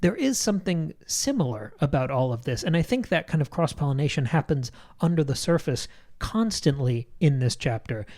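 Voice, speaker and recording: A man's voice: a little high, expressive, normal speed, a little mellow. A man co-hosting a book club podcast, thinking aloud in articulate, layered literary analysis. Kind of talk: literary discussion, analytical chat.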